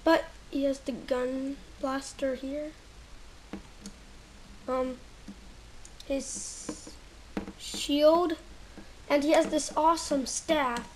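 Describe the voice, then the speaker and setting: High-voiced woman, slow halting toy review. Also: steady tone, a little mellow, slight background noise. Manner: halting, searching for words, trailing off.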